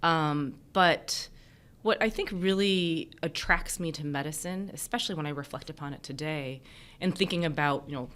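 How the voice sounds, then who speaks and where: A woman's voice: deep voice, normal speed, a bit bright, clear, resonant tone. A woman from the United States, a native Mandarin speaker, explaining thoughtfully as a physician in a reflective interview. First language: Mandarin Chinese. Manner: thoughtful, explanatory, hedging with "kind of" and "you know". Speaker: emergency physician being interviewed.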